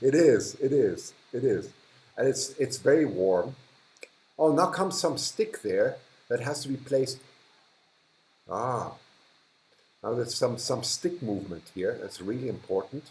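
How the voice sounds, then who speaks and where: A man's voice: medium pitch, lively intonation, slow, long pauses, darkish tone, reverberant. A man with a German accent hosting a casual livestream, chatting with viewers, laughing often and trailing off mid-sentence. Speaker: livestream host. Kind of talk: casual live chat reading viewer comments.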